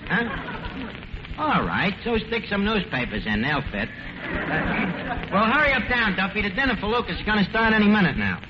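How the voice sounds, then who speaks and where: An actor playing a brash, self-important tavern manager in a 1940s radio comedy, slangy bad grammar and mock-grand words. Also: male voice, high-pitched, sing-song, normal speed. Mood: bustling, evasive. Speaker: comedy show host. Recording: dull, noisy old radio broadcast.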